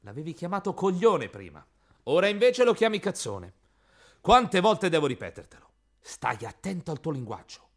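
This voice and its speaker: An actor playing a crude, gleeful, bullying interrogator in a theatrical radio drama, moving between hushed menace and loud outbursts. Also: male voice, a little high, sing-song, normal speed. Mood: scolding.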